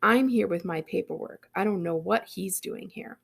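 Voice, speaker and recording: A woman reading aloud as a tense, defensive teenage girl telling her own story, with a dramatic, expressive delivery. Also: mid-range voice, moderate pace, gravelly, slightly rough. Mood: flustered, indignant. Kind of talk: first-person audiobook reading.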